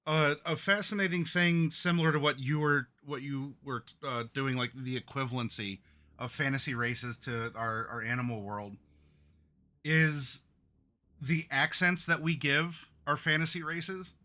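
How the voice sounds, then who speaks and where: A man hosting a tabletop roleplaying stream, chatting with his players. His voice is bright and forward. He talks loosely, trips over his own words and laughs easily. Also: mid-range voice, a little slow. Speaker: game master and show host. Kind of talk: casual pre-game chat on a stream.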